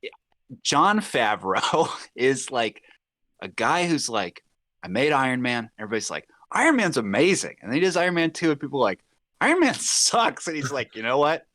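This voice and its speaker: A man's voice: medium pitch, sing-song, moderate pace, a bit bright, no echo. A man bantering casually on a podcast panel, repeating himself for emphasis and acting out imagined quotes as he goes.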